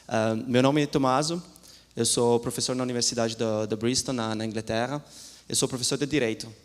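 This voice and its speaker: A male law professor addresses a seminar at an ordinary middle pitch. He speaks haltingly, repeating words and restarting often as he mixes languages. His voice rings with the long reverb of a lecture hall. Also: steady tone. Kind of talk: seminar talk.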